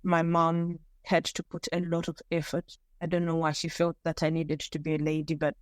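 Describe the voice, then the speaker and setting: A woman from Zimbabwe tells her life story as a podcast guest, pausing and restarting as she recalls it. Her voice is smooth and clear. The recording is close and dry, with no room sound. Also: sits low, dry recording. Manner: candid, animated storytelling with pauses and restarts. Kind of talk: personal storytelling interview.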